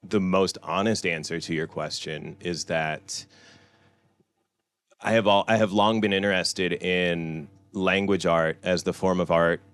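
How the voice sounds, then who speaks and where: Very deep-voiced man chatting candidly. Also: fairly bright tone, clear, resonant tone. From Iran. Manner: loose and rambling, peppers speech with "right?" and "you know". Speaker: author at a book talk. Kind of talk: candid conversational talk. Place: bookstore event room.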